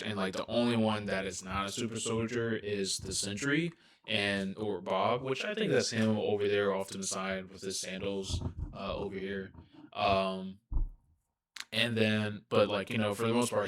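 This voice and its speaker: Quiet young guy reacting to a trailer, kinda low voice, lots of ums. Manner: soft-spoken, rambling with many fillers. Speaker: reaction video host. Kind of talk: casual reaction commentary.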